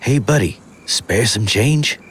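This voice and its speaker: An actor playing a creepy, accusing street beggar in an audio drama, taunting and insinuating. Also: male voice, mid-range voice, animated, slow, fairly bright tone, a little hiss or hum. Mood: sly.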